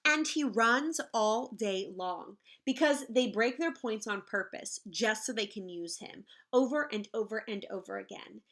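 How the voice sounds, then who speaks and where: A woman reading a picture book aloud in the manner of a teacher, expressive and explaining things simply to children. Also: on the high side, measured pace, hardly any pauses. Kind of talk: animated children's read-aloud with asides to the listeners.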